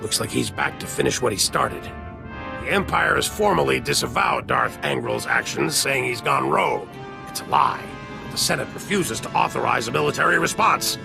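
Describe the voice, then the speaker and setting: A grave army general in a sci-fi game. His mid-pitched voice is heavily gravelled and resolute, with music playing strongly behind it. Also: normal speed. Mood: urgent, grim. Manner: grave, military, urgent. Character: grizzled, resolute male military general. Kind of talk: video game character acting.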